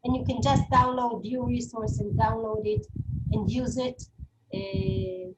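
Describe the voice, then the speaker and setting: A woman's voice: a little high, steady tone, unhurried, loud music behind the voice, rough recording. A woman with a Hebrew accent, not a native English speaker, giving an online webinar lecture, hesitant, with many fillers and restarts.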